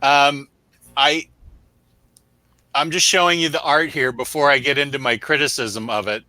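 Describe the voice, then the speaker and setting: A man's voice: medium pitch, fairly even intonation, normal speed, bright, forceful tone, no room sound. A man co-hosting a casual, unscripted review show, chatting with viewers in a blunt, opinionated, emphatic way. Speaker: co-host of a comic book review show.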